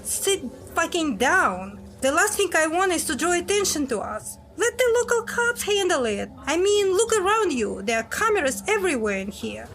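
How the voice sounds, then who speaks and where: A very high, lively female voice from an actress plays a secret agent in a podcast drama. She is guarded and exasperated, scolding her partner insistently. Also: normal speed, few pauses, full character voice. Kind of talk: audio drama acting.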